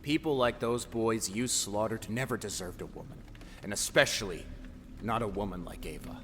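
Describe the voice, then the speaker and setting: Casting: man playing a grandiose, taunting villain for audio drama, forceful and cutting, theatrical delivery, long reverberant tail. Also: fairly low pitch, moderate pace, close to an everyday voice. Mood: cold, goading. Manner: theatrical, swinging from whispers to shouts. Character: menacing, taunting male tormentor, grandiose and theatrical. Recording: long hall reverb, faint noise.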